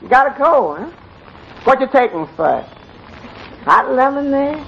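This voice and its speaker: A man's voice: very high-pitched, very animated, vocal fry, heavily acted. A man playing a sheepish, folksy, lovesick young waiter in a 1940s radio comedy. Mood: lovesick, hapless. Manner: slow, sheepish, chuckling, folksy. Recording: muffled, noisy 1940s radio, loud studio audience.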